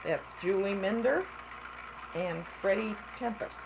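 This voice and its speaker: An older woman with a gravelly voice, chatting casually as she looks back over old family slides, often clearing her throat. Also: mid-range voice, a little slow. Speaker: family member narrating home slides. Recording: home recording with noticeable background noise.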